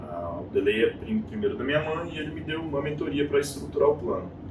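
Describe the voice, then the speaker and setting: A Brazilian man in his thirties tells his story as an interview guest, acting out voices in quotes. He sits back from the mic in a reverberant room. A steady noise runs underneath. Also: a little high, fast talker. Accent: Brazilian Portuguese. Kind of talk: casual interview chat. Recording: echoey room, distant mic, noisy.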